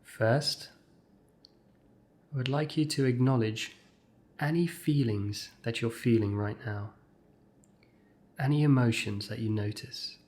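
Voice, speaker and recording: Man guiding a mindfulness meditation. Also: mid-range voice. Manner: measured, gentle pauses between short phrases. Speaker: mindfulness exercise guide. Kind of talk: guided meditation, scripted instruction.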